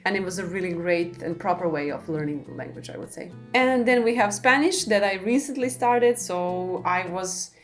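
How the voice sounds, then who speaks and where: A young woman from Serbia, a native Serbian speaker, answering viewer questions in English in a relaxed, friendly way, with frequent ums and light laughs. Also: fairly low pitch, normal speed, few pauses, faint music behind. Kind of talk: casual Q&A vlog.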